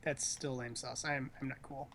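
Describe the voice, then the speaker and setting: Man, middling pitch, casual game-stream commentator with a teasing, slangy delivery. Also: little pitch movement, normal speed, fairly bright tone. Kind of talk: casual game-watching chat.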